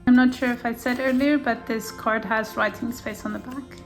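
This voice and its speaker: Woman crafter, Afrikaans first language, soft and fairly high voice, faint music underneath. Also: fairly even intonation. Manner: gentle, softly enthusiastic, unhurried. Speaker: junk journal maker. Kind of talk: casual flip-through narration. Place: indoors at a craft table.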